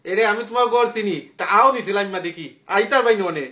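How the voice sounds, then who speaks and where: A man's voice: sits high, fast talker, bright, forceful tone. A young man with a Sylheti accent making a prank phone call, arguing in a cheeky, provoking way. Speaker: prank caller, speaking as a daughter's suitor. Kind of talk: teasing phone argument.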